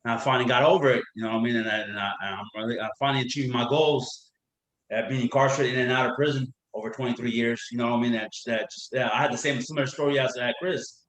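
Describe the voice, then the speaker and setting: Fast-talking man on a roomy video call. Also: medium pitch, fairly even intonation, a bit bright. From Afghanistan. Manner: halting, many restarts and fillers. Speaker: workshop participant, intern. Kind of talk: casual self-introduction.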